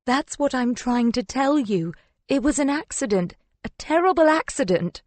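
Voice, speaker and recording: A panicked, guilty woman pleading with her lover in an audiobook, acted out by a female narrator. She whines and falters, croaky and stammering, in a dry studio sound. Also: sits high, lively intonation, moderate pace, darkish tone, no room sound, voice pushed up. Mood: whining, pleading. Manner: croaking, stuttering, whining.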